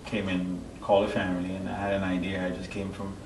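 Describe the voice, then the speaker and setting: A middle-aged man from Haiti giving a personal testimony as an interview guest, halting and searching for his words. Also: low voice, monotone. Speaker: interview guest, church member. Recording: echoey, distant mic, clear background noise.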